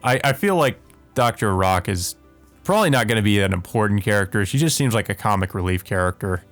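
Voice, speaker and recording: A man's voice: fairly low pitch, animated, fairly quick, fairly bright tone, faint music behind. A man hosting a let's play, reading visual novel text aloud with casual commentary.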